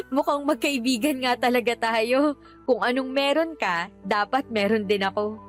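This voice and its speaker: A woman's voice: on the high side, expressive, measured pace, faint music behind. A woman acting in a Filipino radio drama as a feisty, loyal best friend who is ill herself, with a Filipino accent. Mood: feisty, bantering. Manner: feisty, bantering.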